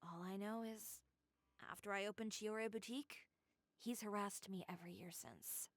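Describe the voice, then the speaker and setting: A woman voicing a composed, determined woman who owns a boutique, speaking in a calm, measured, matter-of-fact way. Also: medium pitch, a little hiss or hum, close to an everyday voice. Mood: resentful. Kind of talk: video game voice acting.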